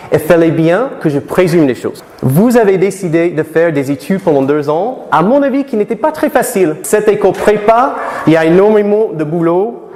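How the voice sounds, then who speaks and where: A man's voice: sits high, darkish tone. A middle-aged American man, a native English speaker, giving a live conference talk to students, often asking the audience questions and restarting his phrases. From United States. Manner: asks the audience many questions, halting with restarts. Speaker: lecturer. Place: lecture hall. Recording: echoey hall, long reverb.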